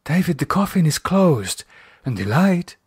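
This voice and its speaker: A man voicing a calm, concerned woman in a horror audiobook, gently and patiently reasoning with a madman. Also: on the high side, a little slow, slightly rough, pitched above the performer's own voice, full character voice. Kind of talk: audiobook character voice.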